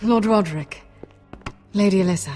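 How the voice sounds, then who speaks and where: A woman's voice: mid-range voice, moderate pace, a little mellow, soft background music, natural voice. An actress playing an earnest young noblewoman in a video game, formal and diplomatic. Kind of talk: dramatic video game acting.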